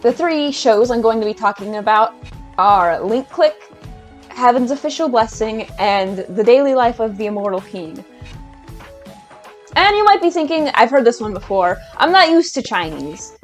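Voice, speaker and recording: A woman's voice: on the high side, expressive, normal speed, soft background music. A woman giving a casual, enthusiastic video-essay rant as a YouTuber, chatty and hesitant, often restarting her sentences.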